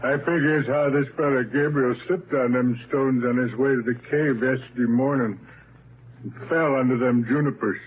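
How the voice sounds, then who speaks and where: A man's voice: mid-range voice, fairly even intonation, normal speed, slightly rough, lightly acted. Actor doing a folksy old woodsman, tinny. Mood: matter-of-fact. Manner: folksy, ungrammatical, matter-of-fact. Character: plain-spoken old rustic woodsman. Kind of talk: 1930s radio drama acting. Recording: tinny, noisy 1939 radio broadcast.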